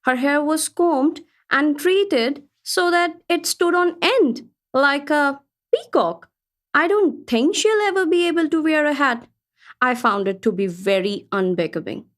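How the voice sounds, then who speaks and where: High-pitched lady traveller telling her tale. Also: expressive, measured pace. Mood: critical, surprised. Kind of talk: radio play storytelling, reading a travel account.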